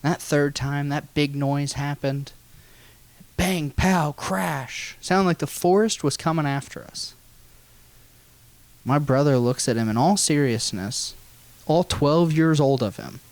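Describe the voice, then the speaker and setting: An American man telling a funny personal story in an animated, folksy way with some swearing. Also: a little high, slow, no echo. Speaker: guest storyteller.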